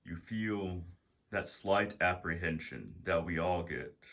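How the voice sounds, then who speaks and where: A man narrating a guided meditation as its guide, in deliberate, measured phrases with pauses. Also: sits low, expressive, fairly bright tone.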